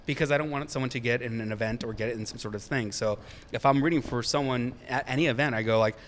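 A man's voice: medium pitch, fast, a little hiss or hum. A man in his thirties, a psychic medium, recounting his readings in a rambling, informal, conversational way. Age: 30s. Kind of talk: casual chat, storytelling.

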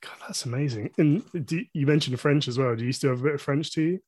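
A man hosts a casual podcast interview at a middle pitch, enthusiastic and full of quick reactions. His voice is recorded dry and close to the mic. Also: darkish tone, breathy edge, no room sound. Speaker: podcast host.

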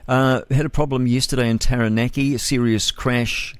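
A man's voice: medium pitch, steady tone, normal speed, clean tone, no echo. A man with a New Zealand accent hosting breakfast talk radio, rambling and opinionated as he comments on the news, with many fillers and self-corrections. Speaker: radio host.

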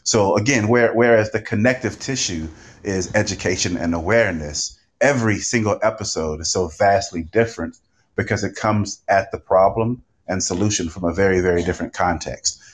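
A man with a low voice speaks as a panelist in a podcast discussion. He phrases his points deliberately and leans on key words for emphasis. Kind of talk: conversational panel talk.

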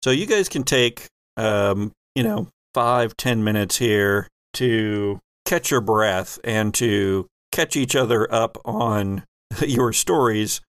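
A man's voice: lowish voice, lively intonation, slow pace, fairly bright tone, smooth, no echo. A man casually narrating a tabletop roleplaying game as its game master.

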